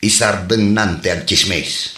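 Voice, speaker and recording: An actor playing a nosy, wry village neighbor with an Ilocano Filipino accent in a comedy drama. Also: male voice, low voice, unhurried, sharp tone, reverberant. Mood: wry, mocking.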